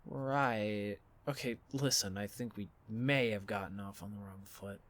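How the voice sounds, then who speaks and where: A man playing a blunt, bewildered and wary young man in an audio drama, with halting, incredulous delivery. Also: on the low side, steady tone, dry recording, natural voice. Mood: irritated, wary. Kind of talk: audio fiction podcast acting.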